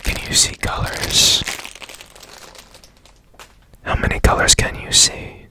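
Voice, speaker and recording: A man playing a strange, secretive, tenderly curious repairman in an ASMR roleplay, in a breathy, halting whisper up close to the mic. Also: dry recording. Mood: curious, musing. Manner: slow, halting, hushed, lingering on phrases.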